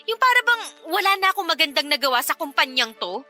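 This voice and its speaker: A woman's voice: high voice, big pitch swings, fast talker, sharp tone, faint music behind, full character voice. A woman playing a self-sacrificing young working woman in a Tagalog radio drama, earnest, emotional and firm. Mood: bitter, hurt.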